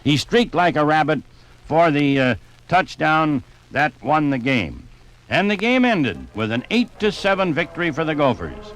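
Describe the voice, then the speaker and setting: Animated sportsman telling football tales. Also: a little high, unhurried, rough recording. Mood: amused storytelling. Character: jovial sportsman reminiscing about football on a fishing trip. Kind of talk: 1950s radio storytelling and sports recollection.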